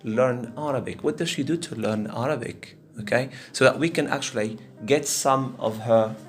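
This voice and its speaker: A man's voice: mid-range voice, normal speed. Male teacher over faint music. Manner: explains step by step, checks understanding often. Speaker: teacher, moderator. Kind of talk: informal classroom explanation and questioning. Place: classroom at a language centre.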